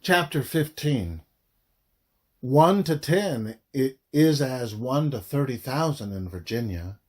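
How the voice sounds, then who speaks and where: A man reading a scripted audiobook narration in a deliberate way, phrase by phrase with frequent pauses. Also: mid-range voice, expressive, darkish tone, husky. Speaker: author reading own audiobook.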